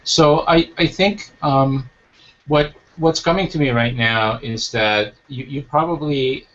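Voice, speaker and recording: A man's voice: mid-range voice, takes it slowly, a bit bright. A man hosting a talk show as a coach, speaking reflectively, asking rhetorical questions, and restarting his sentences. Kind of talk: interview conversation. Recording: dull lo-fi recording.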